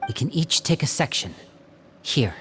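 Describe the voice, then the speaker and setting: A young magician from a fantasy game, speaking at a middling pitch. He tells his stories in a smooth, easy way and grows serious and quiet on dark topics. Faint ambience sits behind him. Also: very animated, normal speed, a little hiss or hum. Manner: smooth storyteller, turns serious and low. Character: young male stage magician, charming but grave. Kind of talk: game voice acting.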